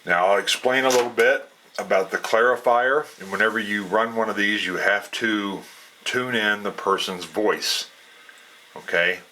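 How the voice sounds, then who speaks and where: Male hobbyist presenter: low, forceful voice, plainspoken and folksy, explaining off the cuff. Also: unhurried, a little hiss or hum, rough recording. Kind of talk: casual unscripted explainer.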